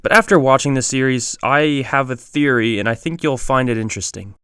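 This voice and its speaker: A man's voice: medium pitch, a little fast, a bit bright, clean tone. A man hosting a video essay, reading scripted narration. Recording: music behind the voice.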